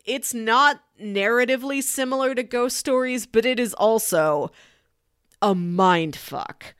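A woman's voice: fairly high pitch, unhurried, fairly bright tone, clean tone, dry recording. Enthusiastic American woman, close dry sound. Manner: enthusiastic, emphatic. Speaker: podcast co-host reviewing films. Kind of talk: casual chat, film recommendations.

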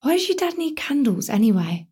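A woman's voice: sits high, sing-song, brisk, mellow, slightly rough, full character voice. A woman with a British accent playing a brash, easily distracted teenage girl in a naturalistic radio play, blunt and colloquial. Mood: casual, flippant.

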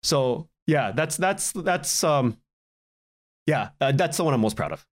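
A man with a moderately high voice talks as an interview guest. He is casual and rambles, doubling back on his words and breaking off to start his sentences again. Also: expressive. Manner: halting, rambling, self-interrupting with repeated words. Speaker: podcast guest, writer and podcaster.

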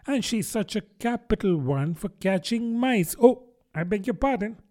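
Man doing a young girl, storybook, high-pitched, curious, polite, earnest, chatty. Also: lively intonation, measured pace, darkish tone. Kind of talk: storybook character voice.

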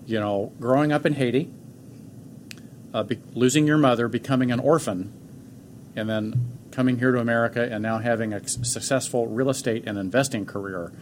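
A man's voice: medium pitch, steady tone, a little fast, darkish tone. A man hosting a podcast, interviewing a guest in an upbeat, encouraging way. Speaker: podcast host. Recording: faint background noise.

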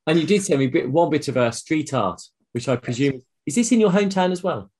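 A man's voice: fairly high pitch, animated, brisk, darkish tone, slightly rough. A man with a British accent hosting a casual, conversational interview. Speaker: podcast host, interviewer.

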